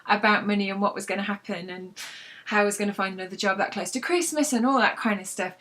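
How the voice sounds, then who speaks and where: A woman's voice: medium pitch, quick pace, fairly bright tone. Chatty British woman sharing stories. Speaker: novelist hosting a read-along vlog. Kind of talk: casual chat to followers with book reading.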